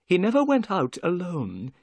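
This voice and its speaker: A man voicing an anxious, excitable little bald man in an audiobook, with a fussy, theatrical delivery. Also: sits high, normal speed, creaky, husky, no room sound, voice pushed up, full character voice. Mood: earnest storytelling. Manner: exclamatory, fretful, theatrical delivery. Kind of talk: audiobook character voice.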